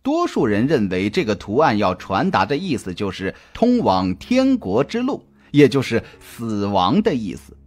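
A man reading a scripted suspense audiobook in a dramatic, deliberate, expressive storytelling manner. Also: mid-range voice. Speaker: audiobook narrator. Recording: music under the voice. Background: background music.

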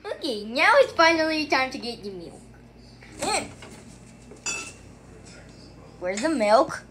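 A woman voicing a bossy, violent-tempered puppet chef in an erratic home-made comedy cooking show, shrill when worked up. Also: very animated, normal speed. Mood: testy. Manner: erratic, drawling then bursting into shouts. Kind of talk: improvised puppet comedy sketch. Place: home kitchen and living room, indoors. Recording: noisy handheld home video.

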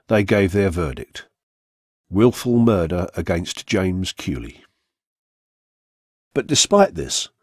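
Man, low voice, scripted true-crime narration, formal measured delivery, dry studio recording. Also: a little mellow, no room sound. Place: radio studio, dry sound.

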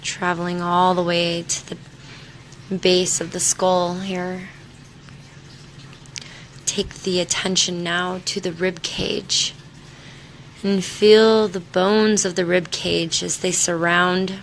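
A woman leading a guided meditation in a soothing, unhurried manner, leaving long pauses between short instructions. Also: on the low side, level tone, creaky, a bit bright, slight background noise, low-quality recording. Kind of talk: guided meditation, body scan.

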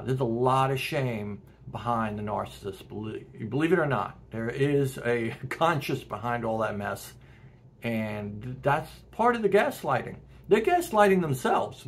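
A man's voice: mid-range voice, vocal fry. A middle-aged man talking casually and earnestly to camera at home, with short laughs between points. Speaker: self-help video host. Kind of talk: casual direct-to-camera talk. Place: home room with porch window and plants.

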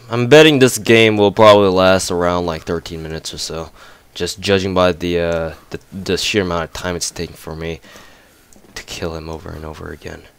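A man's voice: sits low, fairly even intonation, moderate pace, fairly bright tone, smooth, dry recording. A young man giving casual, profane gameplay commentary, ranting and mocking while congested from a cold. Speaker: gamer commentator.

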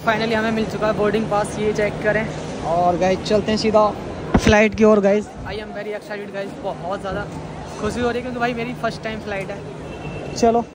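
A young man from India vlogging his trip through an airport onto a plane, chatty and excited, talking to his viewers as guys and mixing in English words. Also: high-pitched, moderate pace, a crowd in the background, background noise. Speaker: travel vlogger. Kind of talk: excited handheld travel vlog. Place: airport terminal and plane cabin, indoors.

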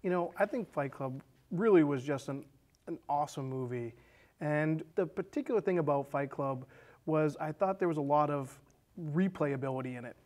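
A man's voice: a little high, moderate pace, a little mellow. A man in his thirties with an American accent, chatting casually as a studio guest. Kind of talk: casual chat. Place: small indoor studio set with curtains. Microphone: lapel.

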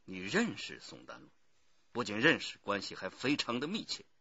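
An actor playing a stern, authoritative police detective chief in a radio crime drama. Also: male voice, on the low side, huge pitch swings, normal speed, pushed and bright, breathy edge, no echo, muffled recording, heavily acted. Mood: stern, pressing. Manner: authoritative, pressing.

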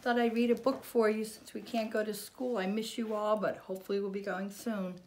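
A middle-aged American woman reading a children's storybook expressively, with drawn-out dramatic emphasis and hushed asides. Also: mid-range voice, little pitch movement, slight background noise. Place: living room, indoors. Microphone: camera a short distance away. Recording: echoey home room.

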